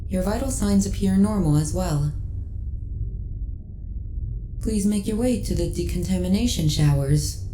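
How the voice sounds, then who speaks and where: A woman voicing a friendly, caring ship AI in a sci-fi ASMR roleplay, speaking in a measured, formal, precisely enunciated way. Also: on the low side, warm tone, echoey room. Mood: calm, attentive.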